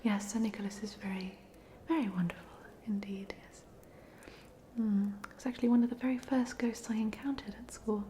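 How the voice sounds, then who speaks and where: Woman as a softly whispering, chatty ghost. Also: on the high side, steady tone, normal speed, slight background noise, natural voice. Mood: gentle, friendly. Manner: whispered, hesitant, gently rambling. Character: polite, chatty headless ghost of a drowned Hogwarts student. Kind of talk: whispered ASMR roleplay.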